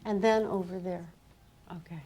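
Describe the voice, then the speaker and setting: A woman's voice: on the low side, steady tone, normal speed, dark tone. A female moderator makes brief, casual interjections at a live book discussion, with faint noise in the room.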